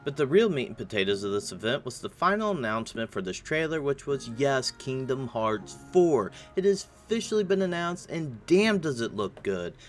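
Slightly raspy American man over music. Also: medium pitch, very animated, moderate pace, hardly any pauses. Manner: casual, informal address to viewers. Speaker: gaming news channel host. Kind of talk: scripted news recap narration. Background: music behind the voice.

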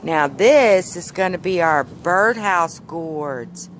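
A woman's voice: fairly low pitch, animated, takes it slowly, a little hiss or hum. A woman in a backyard garden filming on her phone and patiently coaxing a small child with simple questions. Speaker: mother giving a garden tour. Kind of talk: casual home video chat with a toddler. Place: backyard vegetable garden outdoors. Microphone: phone camera held while filming.

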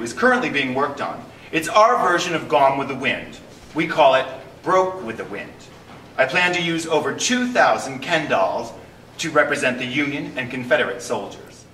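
A man in his fifties speaks from a small theater stage, making his pitch with deadpan jokes. His voice is bright and rises and falls freely. He sounds a little far from the camera, with an echoey room around him. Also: a little hiss or hum. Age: 50s. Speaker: theater company founder. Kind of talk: casual interview to camera.

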